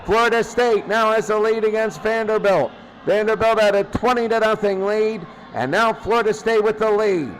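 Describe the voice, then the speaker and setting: Male sports announcer, high voice, live play-by-play, emphatic repeated phrases, faint background noise. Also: normal speed, hardly any pauses, rough recording.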